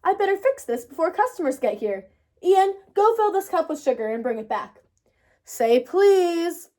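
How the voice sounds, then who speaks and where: Woman voicing a confident, bossy little girl, high-pitched. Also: sing-song, normal speed, dark tone. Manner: emphatic, showy, sing-song announcing. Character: confident, bossy, theatrical young girl running a lemonade stand. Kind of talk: children's storybook read-aloud.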